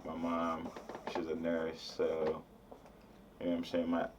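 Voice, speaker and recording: Very deep-voiced young man, rambling. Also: completely flat delivery, unhurried. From Nigeria. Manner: casual, rambling, slang fillers. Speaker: guest musician. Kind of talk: casual interview answers. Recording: distant, slightly muffled mic with faint background noise.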